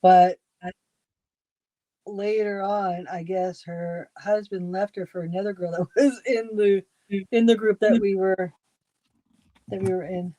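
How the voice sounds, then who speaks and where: Livestream co-host, woman, mellow warm voice, relaxed storytelling, dry mic. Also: mid-range voice, a little slow, pauses often, no room sound, poor audio. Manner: easygoing, tells little stories, soft trailing endings. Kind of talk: casual chat.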